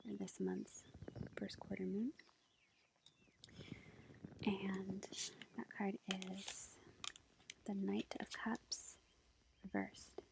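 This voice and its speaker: A woman playing a sweet, gentle young witch girlfriend in an ASMR roleplay, whispering breathily and reassuringly as she reads tarot. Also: medium pitch, flat delivery, vocal fry, full character voice. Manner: gentle, hesitant, reassuring, unhurried. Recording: lo-fi, faint background noise. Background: faint ambient nature sounds.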